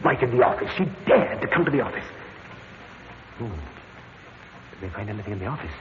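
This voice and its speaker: An actor playing a nervous, stammering murder suspect in a vintage radio drama. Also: male voice, mid-range voice, lively intonation, a little fast, long reverb tail, natural voice. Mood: anxious muttering. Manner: fretful, stammering, self-justifying. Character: nervous middle-class murder suspect, guilty, indignant. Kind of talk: 1950s radio drama acting. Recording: tinny noisy old radio broadcast.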